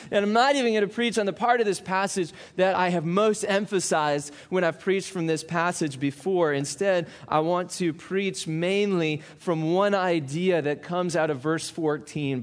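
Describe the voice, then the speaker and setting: A man with a fairly high voice gives a Sunday sermon. He reads scripture in a slow, measured way, then moves into relaxed, conversational teaching with asides to the congregation. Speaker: pastor.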